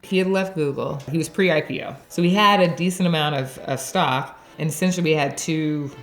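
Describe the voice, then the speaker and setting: A woman's voice: deep voice, fairly quick, faint music behind. A woman in her forties from Texas, sharing her faith story in a chatty way, laughing often and using lots of fillers. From Dallas area, Texas. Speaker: interviewee. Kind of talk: personal faith testimony.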